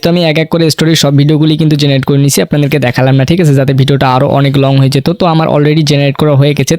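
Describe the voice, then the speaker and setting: Guy doing a casual screen tutorial, talks real fast, keeps checking you follow, dry close sound. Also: a little high, darkish tone, no room sound. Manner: informal, repeatedly checking listeners follow. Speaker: tutorial host.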